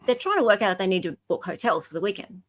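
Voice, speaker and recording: A woman with an Australian accent co-hosting a casual discussion of legal emails, explaining things in a halting, self-correcting way. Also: mid-range voice, very animated. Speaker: podcast co-host.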